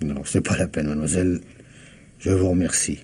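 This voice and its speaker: An actor playing a shrewd, courteous police commissioner in a radio mystery drama, husky and politely insinuating as he questions methodically. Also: low voice, fairly even intonation, a little fast, warm tone. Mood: knowing, gentle.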